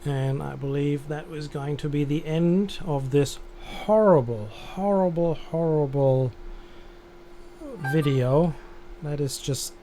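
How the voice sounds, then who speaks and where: Mellow man on a flight-control stream. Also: on the high side, takes it slowly. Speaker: streamer playing air traffic controller. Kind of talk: live game stream with controller instructions and commentary. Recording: background noise.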